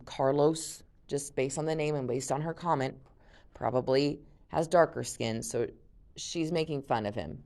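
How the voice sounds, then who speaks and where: A woman's voice: very deep voice, a little mellow. A woman teacher reading a novel aloud to a class and discussing it in a casual, explaining way, thinking aloud.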